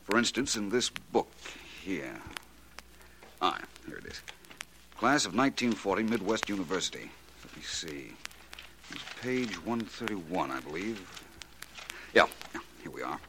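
An actor playing a shrewd, courteous, self-assured detective with polished, probing delivery in a 1940s radio drama. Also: male voice, low voice, lively intonation, measured pace, long pauses, background noise. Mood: absorbed, methodical.

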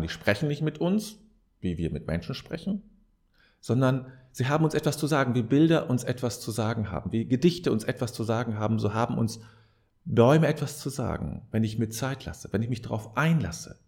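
A man's voice: mid-range voice, expressive, a little fast, a little mellow. A man giving a reflective advice monologue as a podcast host, speaking straight to the listener in an earnest, preacher-like way and repeating key phrases for emphasis.

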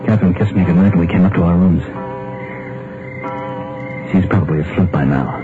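An actor playing a frightened husband who believes a hired killer is after him, in a 1950s radio drama, talking in a feverish inner monologue. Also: male voice, deep voice, fairly quick, natural voice. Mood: panicked. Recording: dull, hissy old radio broadcast with music.

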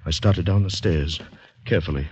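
A man's voice: extremely low pitch, moderate pace, warm tone, a little hiss or hum. An actor playing a terrified, shell-shocked man on the run, narrating urgently in a vintage radio drama style. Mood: tense dread. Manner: dramatic, haunted, urgent delivery. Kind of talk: 1940s-50s radio drama acting, first-person narration. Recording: dull vintage radio recording, music behind.

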